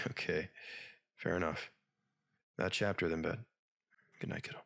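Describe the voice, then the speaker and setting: A man's voice: sits low, very animated, unhurried, gravelly, noisy background, full character voice. A man playing a weary, unsettled married newspaperman and father in a comic dub, speaking hesitantly. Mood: fond, teasing. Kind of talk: dramatic comic dub acting.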